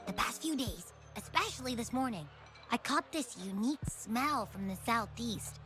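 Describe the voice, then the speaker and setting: A woman's voice: on the high side, animated, moderate pace, natural voice. A woman voicing a small, childlike creature companion in a video game, curious, sleepy and chatty, musing aloud. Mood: curious, puzzled. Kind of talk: video game character dialogue. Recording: game music behind the voice. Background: game background music.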